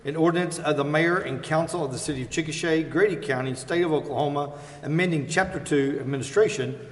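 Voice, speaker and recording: Higher-pitched American man, echoey formal meeting chair. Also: fast. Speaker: meeting chair, presiding official. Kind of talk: formal reading of agenda items at a public meeting. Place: indoor council chamber. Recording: echoey council chamber, clear background noise.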